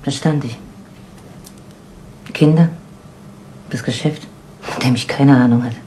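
An actress playing a weary, defensive widow who runs a garage, speaking in halting phrases in a 1970s radio crime play. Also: female voice, rumbling low, warm tone, poor audio. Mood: weary, confiding. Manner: halting, short broken phrases. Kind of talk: 1970s German radio crime drama acting. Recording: old radio recording with background noise.

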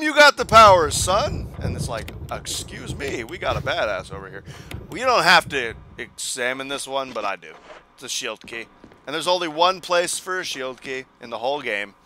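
A young man commenting on a video game as he plays, joking and mocking himself, with a hoarse voice that he says is going. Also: mid-range voice, slight background noise. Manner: joking, self-mocking, animated. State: hoarse, losing his voice. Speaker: gaming channel host. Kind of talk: casual let's play commentary.

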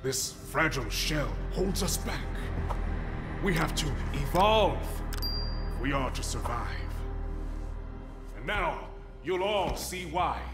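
An actor playing a cold, grandiose villain in a cinematic video game, speaking in a measured, condescending and deliberate way. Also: male voice, fairly high pitch, in a large hall. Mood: grand, resolute. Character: cold, grandiose villain preaching human evolution. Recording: music under the voice.

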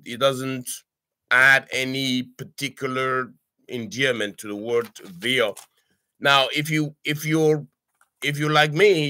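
Older man from Cameroon, language tutor, bright forceful voice, halting delivery full of uh's, dry close webcam sound. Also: medium pitch, no room sound. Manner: halting, many "uh"s and restarts, frequent "okay?" check-ins. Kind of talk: informal online language lesson. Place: home study with bookshelves. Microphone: webcam on a video call.

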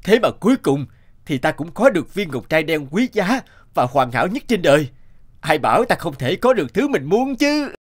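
An actor playing a proud, greedy, obsessive treasure collector in an animated fable, boastful and exclamatory. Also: male voice, fairly high pitch, normal speed. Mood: self-satisfied, fussy.

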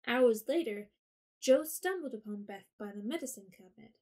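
A woman narrates an audio drama in a steady storytelling voice. Her pitch sits a little high, and her delivery is even and clear. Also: moderate pace. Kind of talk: scripted narration.

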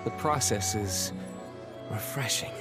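A man playing a brooding, aloof young tavern owner in an anime game, cool, clipped and deadpan. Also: on the low side, slow. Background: game music.

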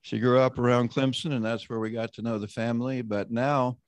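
A man hosting an online roundtable, introducing panelists and leading a prayer in a measured, conversational way. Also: medium pitch, flat delivery, smooth, dry recording. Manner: measured, conversational, often slowing down. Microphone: video call.

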